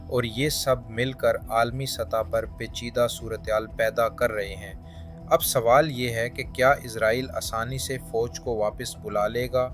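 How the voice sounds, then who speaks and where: A man's voice: mid-range voice, fairly bright tone. A man presenting a scripted news narration in formal Urdu diction. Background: background music.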